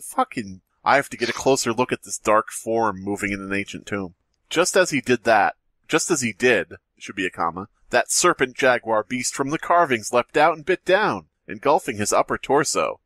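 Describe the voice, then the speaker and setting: A man's voice: medium pitch, expressive, moderate pace, a bit bright, no echo. A man hosting a comedy podcast, reading aloud and joking in a sarcastic, sweary way. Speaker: podcast host. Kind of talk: comedic read-aloud and banter.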